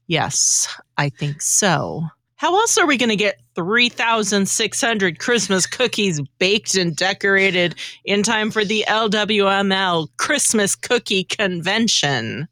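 A woman's voice: mid-range voice, lively intonation, slow, few pauses, sharp tone, no room sound, studio quality. A woman co-hosting a podcast in a comedic, theatrically exaggerated style. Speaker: podcast co-host. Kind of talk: comedic radio skit and casual chat.

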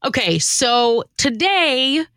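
A woman's voice: sits high, very animated, slow pace, a bit bright, clean tone, no echo, clean studio sound. A woman podcast host chatting casually as she introduces material she has written. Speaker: podcast host and skit writer. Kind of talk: casual chat.

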